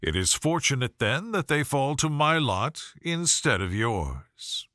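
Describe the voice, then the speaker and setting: Storybook role: male reader as a proud young gentleman, formal and cool, clipped in manner, close dry sound. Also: mid-range voice, big pitch swings, measured pace, no room sound. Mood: cool, curt. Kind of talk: audiobook character voice.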